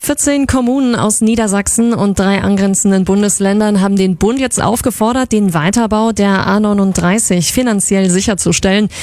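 A woman presenting radio news in a crisp, measured way. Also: medium pitch, a little mellow, dry recording. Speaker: newsreader. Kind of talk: news reading.

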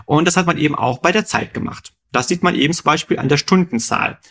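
Erklärender Podcast-Moderator, geduldig fragend. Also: male voice, a little high, fast talker, fairly bright tone. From Rhineland, Germany. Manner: patient, quizzes his co-host with questions. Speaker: podcast co-host explaining numbers. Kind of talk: explanatory conversational chat.

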